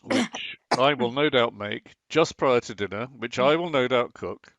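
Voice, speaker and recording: A man's voice: medium pitch, animated, a little slow, fairly bright tone, dry recording. A man playing a grumbling, sarcastic husband in a radio play, theatrically indignant and given to sardonic exclamations. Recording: dry, slightly dull lo-fi sound.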